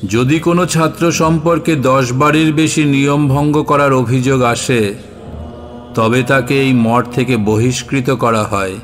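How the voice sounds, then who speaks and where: An actor playing a strict, authoritative head of a monastery in an audio drama, formal and commanding. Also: male voice, medium pitch, steady tone, a little slow, faint music behind, natural voice. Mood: stern.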